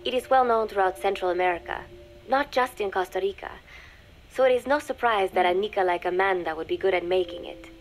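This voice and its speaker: A woman voicing a young undercover spy in a video game, dictating a private diary in a measured, confiding, dryly scornful way. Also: mid-range voice, slight background noise, lightly acted, poor audio. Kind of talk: scripted dramatic diary narration for a game.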